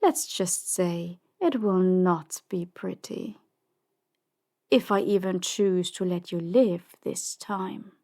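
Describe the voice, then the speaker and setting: A woman playing a cold, ruthless crime boss in an audio drama, commanding and dismissive in a measured way. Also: on the low side, mellow. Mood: angry, threatening. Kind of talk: audio drama roleplay acting.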